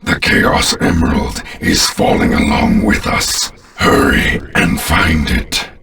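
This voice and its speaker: A man playing a menacing, imperious alien warlord in a video game, with a deep rasp and a pompous, commanding, deliberate delivery. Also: huge pitch swings, bright, forceful tone, recorded from a distance, slight background noise, full character voice. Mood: impatient, scolding. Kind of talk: video game villain voice acting.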